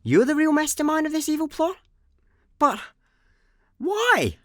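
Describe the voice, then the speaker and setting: A droll space layabout, played by a man, laid-back and hard to impress. His intonation is highly varied and mock-dramatic, with a dry radio-play sound. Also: huge pitch swings, measured pace, a bit bright, no room sound, exaggerated voice. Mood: mock indignant. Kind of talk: comic sci-fi radio play acting.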